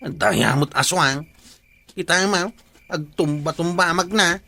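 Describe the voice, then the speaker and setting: A dismissive, teasing village patrolman, played by a man in Ilocano radio comedy. His voice is a little high, crisp and bright with a lot of creak, and carries an Ilocano accent. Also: a little slow, full character voice.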